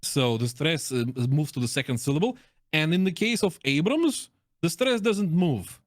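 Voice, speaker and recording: A man's voice: on the high side, normal speed, no echo, studio quality. A man who is a native Russian speaker, reviewing game voice lines in a casual, chatty and jokey reaction conversation.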